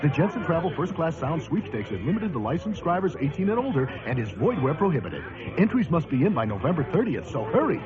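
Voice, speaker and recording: Very animated ad announcer. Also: male voice, on the high side, quick pace, crowd noise. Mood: promotional. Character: upbeat salesman-like announcer, easily flustered. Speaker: commercial announcer. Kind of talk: radio advertisement. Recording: muffled lo-fi radio broadcast with music.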